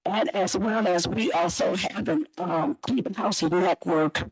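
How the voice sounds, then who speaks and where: A middle-aged woman on a city council, hoarse today, giving earnest, rambling support at a public meeting with many fillers. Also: fairly low pitch, moderate pace, dry recording, low-quality recording.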